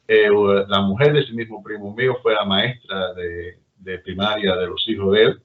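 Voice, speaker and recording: A man's voice: on the low side, fairly even intonation, normal speed. A middle-aged Cuban man with a Cuban accent, telling stories in a rambling casual chat. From Cuba. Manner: storytelling, rambling with restarts. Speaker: guest. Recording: tinny phone-like line.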